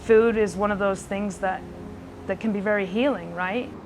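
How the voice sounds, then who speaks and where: A woman's voice: mid-range voice, steady tone, normal speed, over music, poor audio. A woman in a conversational documentary interview, speaking earnestly and often tagging her points with a question. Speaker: youth homelessness worker.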